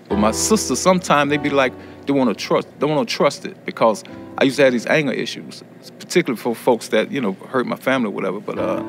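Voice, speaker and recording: A middle-aged American man speaks candidly, answering as an interviewee. His voice sits at an ordinary male pitch, with plucked guitar playing clearly underneath. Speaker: prison education student. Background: acoustic guitar music.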